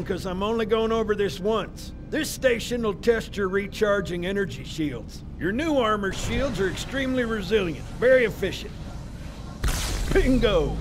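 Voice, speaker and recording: An actor playing a gruff, fussy older male military armorer in a video game cutscene, brisk and instructive. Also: sits high, animated, music in the background. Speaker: weapons and armor technician.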